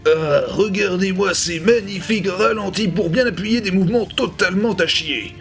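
A man's voice: sits high, moderate pace. A young man hosting a comic review, mocking and exasperated, with sarcastic, profane commentary. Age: young adult. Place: living room at home. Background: music.

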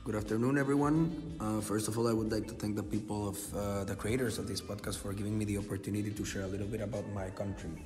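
A man from Guatemala giving a formal, measured prepared talk as a podcast guest, with frequent uhs. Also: on the low side, fairly even intonation, a little mellow, long reverb tail, soft background music.

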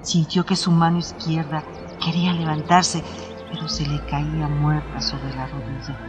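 A man's voice: fairly high pitch, level tone, normal speed, highs cut off. Male narrator, scripted storytelling for radio drama, music bed. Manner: literary storytelling.

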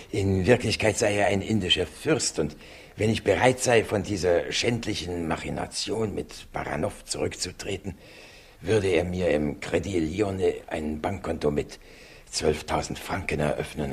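Radio-drama professor: learned, formal, shaken, deep gravelly voice. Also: male voice, full character voice. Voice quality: gravelly, husky. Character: shaken, learned middle-aged or older professor. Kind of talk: 1960s German-language radio crime drama.

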